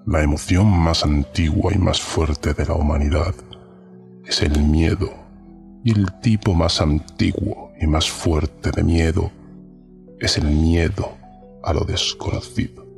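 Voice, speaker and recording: A man giving a solemn, deliberate introduction to a horror audio story as podcast narrator. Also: extremely low pitch, fairly even intonation, creaky, a little mellow. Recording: music under the voice. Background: music.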